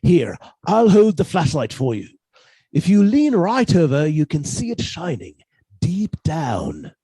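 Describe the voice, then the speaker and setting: A man's voice: fairly high pitch, wildly swooping pitch, unhurried, warm tone, husky, no room sound, exaggerated voice. A man playing a henpecked husband turned murderer in a radio suspense drama, fussy, precise and politely menacing. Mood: nervous, coaxing.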